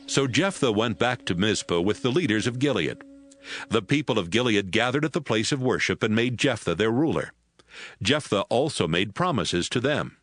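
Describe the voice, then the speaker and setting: A man narrating a dramatized Bible book in a steady, measured way, with music behind. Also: on the low side, no echo. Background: background music.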